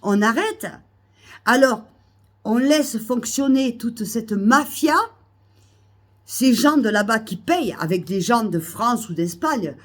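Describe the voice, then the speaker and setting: A woman with a cold, coughing now and then, ranting indignantly to her followers, repeating herself and rising to loud outbursts. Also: fairly high pitch, lively intonation, slow pace. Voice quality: hesitant restarts and fillers. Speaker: vlogger. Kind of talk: ranting monologue to camera. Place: Almeria, Spain.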